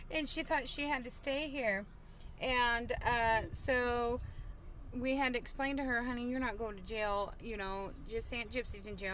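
A woman's voice: on the high side, fairly bright tone, slight background noise, poor audio. A woman in her thirties chatting casually on a live stream from a parked car, reading viewer comments with lots of fillers, recorded on a phone at arm's length. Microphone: phone held at arm's length.

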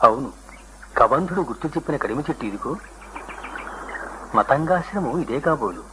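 An actor playing a loyal, respectful younger brother, an epic warrior prince, in a formal declamatory radio drama style. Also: male voice, mid-range voice, lively intonation, normal speed, lightly acted. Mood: observant. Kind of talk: classical Indian radio drama acting. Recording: noisy old radio broadcast recording.

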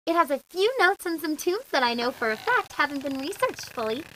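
Woman voicing a young bookish girl, high voice, swooping pitch, earnest explainer. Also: very animated, a little fast, sharp tone, slight background noise, full character voice, rough recording. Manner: earnest, explaining, know-it-all. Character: eager young girl cabin hand, bookish. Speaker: puppet show character. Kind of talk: amateur puppet show voice acting.

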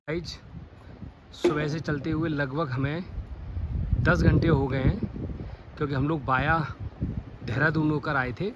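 A man's voice: fairly high pitch, fairly even intonation, unhurried, pauses often, highs cut off, very noisy. A middle-aged man talking straight to viewers in a casual travel vlog, recorded on a phone camera outdoors and in a moving car. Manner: chatty, addresses viewers directly. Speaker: travel vlogger. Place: outdoors by trees, then inside a moving car.

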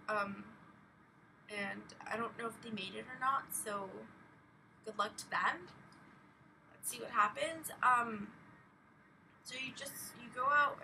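A young woman, chatting casually in a halting, rambling vlog full of "like" as a filler. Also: fairly high pitch, gravelly, sharp tone. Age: young adult. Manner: halting, filler-heavy with frequent "like". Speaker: vlogger. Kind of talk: casual rambling personal vlog, recounting an experience. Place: small bedroom at home, indoors. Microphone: webcam or laptop at arm's length. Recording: distant webcam mic, faint noise.

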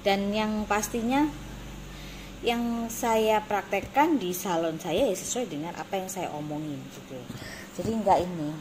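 Vlogger, female, East Javanese accent, mid pitch, blunt and emphatic casual explainer, noisy phone audio. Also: measured pace. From Indonesia. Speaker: beauty salon owner and course teacher. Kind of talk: casual vlog talking to camera. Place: small indoor salon office. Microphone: phone at arm's length. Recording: noisy phone recording with room ambience.

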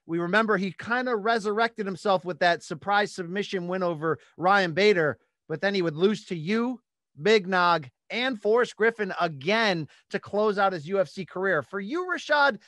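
High-pitched male podcast host, emphatic. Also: normal speed, a bit bright, no room sound, studio quality. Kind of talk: sports talk recap and interview. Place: small home studio room. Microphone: close studio microphone on boom arm.

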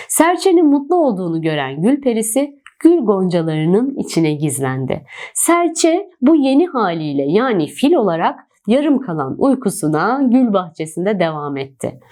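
A woman narrating a children's storybook in an expressive, dramatic storytelling manner. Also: mid-range voice, normal speed.

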